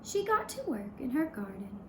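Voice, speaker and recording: A woman storyteller narrating a fairy tale for young children in a gentle, measured manner with short pausing phrases. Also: sits high, big pitch swings. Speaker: puppet show storyteller. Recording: roomy echo, clear background noise.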